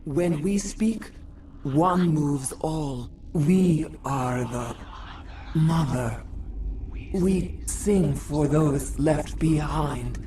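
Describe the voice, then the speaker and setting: Game creature: eerie insectoid queen in a borrowed body, extremely slow, low, breathy, halting; distant over noisy ambience. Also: dark tone. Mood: eerie, solemn. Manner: halting, otherworldly, stately. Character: eerie insectoid alien queen speaking through a possessed woman. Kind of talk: video game voice acting.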